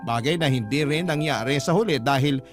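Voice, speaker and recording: A man's voice: mid-range voice, a little fast. A man narrating a scripted, sentimental first-person story as a radio storyteller. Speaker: radio storyteller reading a letter-sender's story. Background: background music.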